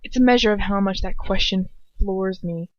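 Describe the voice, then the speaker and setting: A woman's voice: medium pitch, moderate pace, no room sound. A woman reading fan fiction aloud as an amateur, informal and breaking off into casual asides. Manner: informal, self-interrupting. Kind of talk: informal first-person story narration with casual asides. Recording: dull lo-fi home recording.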